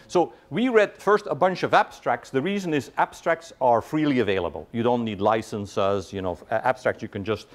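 A middle-aged man lectures to a packed hall in a conversational, lively way. His pitch moves widely as he keeps asking "right?" and quizzes the room. His voice sits at an average pitch for a man. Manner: animated, peppered with "right?", quizzes the audience. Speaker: guest lecturer. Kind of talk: university lecture. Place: large lecture hall with audience.

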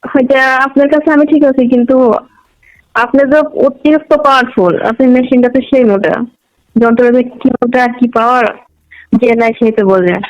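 Fast-talking Bangladeshi woman, muffled call audio. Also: sits high, fairly even intonation. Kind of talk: casual intimate phone conversation.

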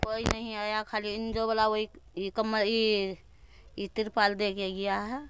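A woman's voice: mid-range voice, monotone, measured pace, fairly bright tone, smooth, dry recording. A middle-aged woman with a rural Bihari accent, giving short plain interview answers at a village hut. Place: village huts. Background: music.

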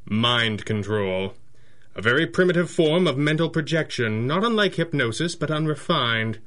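Composed, higher-voiced masked hero. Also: male voice, lively intonation, fairly bright tone. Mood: grave deducing. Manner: formal, measured, precise. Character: composed, analytical masked crime-fighter, the boss. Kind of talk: 1930s radio drama acting.

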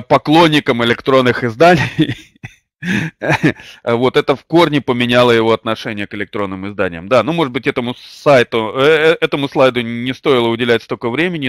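An older man hosting a webinar lecture. He has a slight cold and fills his talk with many drawn-out hesitations. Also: medium pitch, clear, resonant tone, dry recording, clean studio sound. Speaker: webinar host and publishing trainer. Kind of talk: webinar lecture with personal anecdote. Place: small indoor room. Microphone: webcam or desk mic at a computer.

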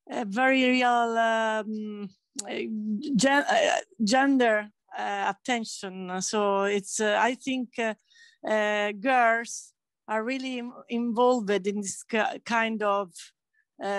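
A woman asking a halting question on a video call, with many fillers. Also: a little high, no echo. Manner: hesitant, many fillers and restarts. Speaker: audience member asking a question. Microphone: laptop mic on a video call.

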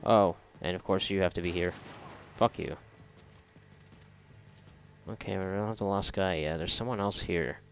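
A man giving casual, reactive commentary while gaming, sarcastic and foul-mouthed, with a gravelly voice. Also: deep voice, moderate pace, pauses often. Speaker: gamer recording a playthrough. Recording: noisy, game gunfire behind voice. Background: video game gunfire and ambience.

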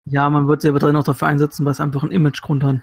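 A man's voice: fairly high pitch, monotone, racing, a little mellow, dry recording, clean studio sound. A man explaining his points in a casual discussion of gaming news. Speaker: podcast panelist.

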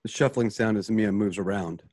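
A man with a lowish voice narrates a radio play's scene and sound cues. His delivery is casual and improvised, and the sound is dry and close. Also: normal speed, darkish tone, no echo. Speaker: narrator and director.

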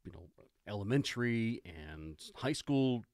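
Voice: medium pitch, expressive, measured pace, darkish tone, no echo, studio quality. A podcast host asking casual, informal questions that sometimes trail off. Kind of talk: casual interview chat.

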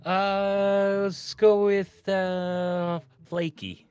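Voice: mid-range voice, flat delivery, drawn-out words, darkish tone, smooth, faint music behind. A game player chatting casually with friends in a joking, teasing manner. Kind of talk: casual chat.

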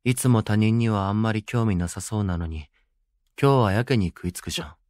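A man's voice: on the low side, fairly quick, darkish tone, dry recording, clean studio sound, natural voice. A man voicing a sceptical, wry young delinquent in an audio drama. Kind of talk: Japanese boys' audio drama.

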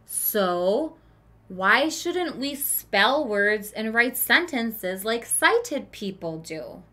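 A woman's voice: a little high, very animated, extremely slow, sharp tone, heavily acted. A woman reading the part of an earnest, determined teenage schoolboy in a storybook, speaking emphatically. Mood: frustrated.

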